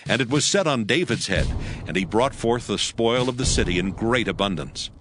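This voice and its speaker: A man narrating scripture in a solemn, measured and formal way. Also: on the low side, animated, noisy background.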